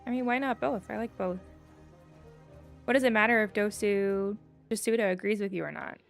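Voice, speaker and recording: A woman's voice: mid-range voice, moderate pace, gravelly. A woman streaming a game, chatting casually and rambling a little as she reads and answers viewer comments. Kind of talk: casual live gameplay chat, reading viewer comments. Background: faint game music.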